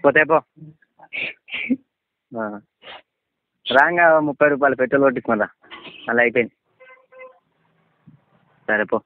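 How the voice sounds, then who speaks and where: A man's voice: medium pitch, normal speed, pauses often, no room sound. A man with a Telangana Telugu accent chats casually on the phone, blunt, wary and full of questions. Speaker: friend receiving a prank phone call. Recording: tinny, dry, band-limited phone line.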